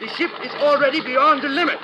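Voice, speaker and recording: Very high-voiced boat crewman. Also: steady tone, fast, dull audio. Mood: resigned. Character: resigned, dutiful police launch crewman. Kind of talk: 1950s radio acting. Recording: very noisy old radio recording.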